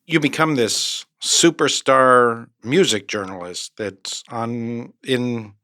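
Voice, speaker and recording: A man's voice: medium pitch, takes it slowly, pushed and bright, no echo, clean studio sound. A man hosting an interview, posing thoughtful questions and reflections to his guest. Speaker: podcast host, rabbi.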